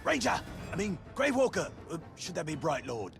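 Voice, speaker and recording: An actor voicing a bumbling, boisterous orc in a video game, with a gravelly high voice and rambling, exclamatory delivery. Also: male voice, sing-song, moderate pace. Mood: eager, flustered. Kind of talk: comic video game acting. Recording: music behind. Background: music.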